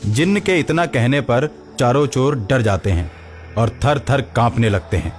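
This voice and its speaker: A man's voice: mid-range voice, expressive, unhurried. A man narrating a scripted cartoon story as the storyteller. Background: background music.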